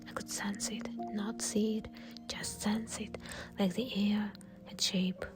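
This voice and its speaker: A woman's voice: medium pitch, level tone, slow. Whispering woman telling a horror story. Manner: breathy, unvoiced whisper throughout. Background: soft music.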